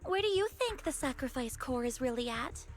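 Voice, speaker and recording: Obsessed young villainess, very high voice, swooping intonation, bitter, mocking, volatile, dramatic video game acting. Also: female voice, expressive, moderate pace. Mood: mocking, accusing. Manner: mocking, theatrical, swinging into shrieks. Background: game music.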